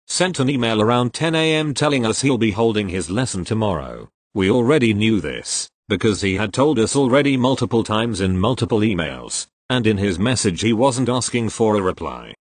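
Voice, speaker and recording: A man reading online posts aloud as the narrator, ending each one with a low, quiet sign-off. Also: no echo. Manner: plain storytelling read, drops low for sign-offs.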